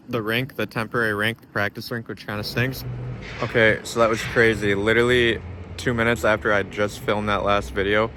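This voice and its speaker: A man talking casually to camera for a vlog in a low-key, plain-spoken way. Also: pushed and bright, slight background noise. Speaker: vlogger, pro hockey goaltender.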